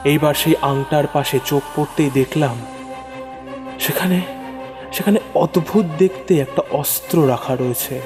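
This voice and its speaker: A man's voice: fairly high pitch, moderate pace. Reverb-drenched man narrating horror. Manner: suspenseful, theatrical, repeats phrases for effect. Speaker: narrator of horror audio stories. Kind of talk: dramatic first-person horror story narration. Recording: long reverb over prominent music. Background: music.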